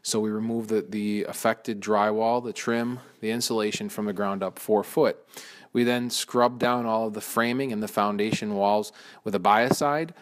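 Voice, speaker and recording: Low-voiced contractor explaining plainly. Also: male voice, fairly even intonation, moderate pace. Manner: explanatory, frequent fillers and restarts. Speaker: mold removal contractor. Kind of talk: informal walkthrough narration.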